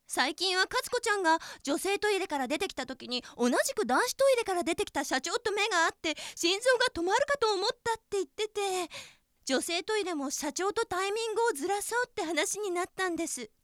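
Very high, bright, anxious office woman , acted. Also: animated, a little fast, no echo, studio quality. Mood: earnest. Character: anxious, earnest young female office worker in a comedy. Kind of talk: comedic radio drama acting.

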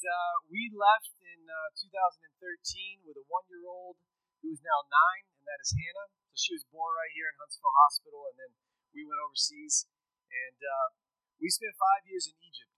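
A man's voice: on the high side, sharp tone, dry recording, rough recording. A man, a missionary speaking in a casual, conversational sermon and asking his audience questions. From rural Texas.

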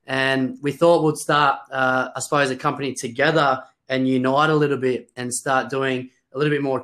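A man answering interview questions as a business owner, relaxed and full of fillers. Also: mid-range voice.

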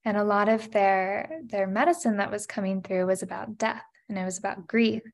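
A woman's voice: medium pitch, normal speed, creaky. A woman in her thirties, a podcast guest, sharing a personal story in an earnest, reflective way, with many "you know" and "like" fillers.

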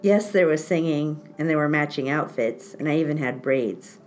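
A woman's voice: low-pitched, moderate pace, darkish tone, soft background music. A woman podcast host, born in Italy and raised in France, telling personal stories in a wry, self-mocking way and laughing often. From born in Italy, grew up in France. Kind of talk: casual storytelling chat.

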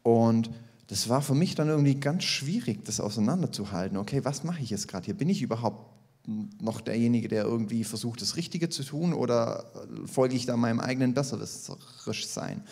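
A man giving a personal testimony at a church service, reflective and a little hesitant as he searches for the right words. His voice has a marked gravelly fry, at a middle pitch. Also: animated, a little fast, darkish tone.

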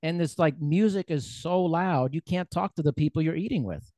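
A man hosting a podcast, talking in a relaxed, rambling way with frequent fillers. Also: a little high, normal speed, no echo. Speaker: podcast host. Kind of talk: casual podcast conversation.

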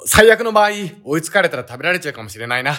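A man's voice: on the high side, very animated, racing, sharp tone. A man playing an earnest, panicky young sightseer in a comedic radio drama, speaking in wordy, melodramatic lines. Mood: uneasy, matter-of-fact.

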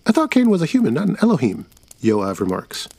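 A man's voice: a little high, huge pitch swings, fairly quick, creaky, dark tone, no echo, voice pushed up, exaggerated voice. A man voicing a skeptical young boy who questions a storyteller. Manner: doubtful questioning.

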